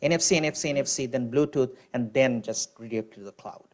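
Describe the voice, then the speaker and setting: A man on a panel explaining technical concepts, often pausing with "you know" and restarting his sentences. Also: mid-range voice.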